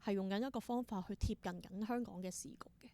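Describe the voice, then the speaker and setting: A woman with a Hong Kong Cantonese accent speaks at a middle pitch as an interview guest. She talks conversationally, explaining as she goes and mixing English words into her sentences. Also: quick pace, warm tone. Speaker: interview guest, theatre performer.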